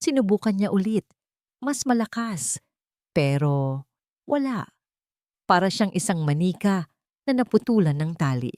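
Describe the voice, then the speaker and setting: A woman narrating a scripted romance story in an emotive, measured storytelling manner. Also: medium pitch, very animated, a little mellow, no room sound, studio quality.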